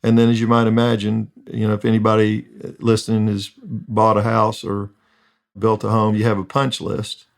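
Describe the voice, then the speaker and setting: A man's voice: lowish voice, little pitch movement, moderate pace, vocal fry. A man speaking as a construction project manager, telling earnest, reflective stories in an interview.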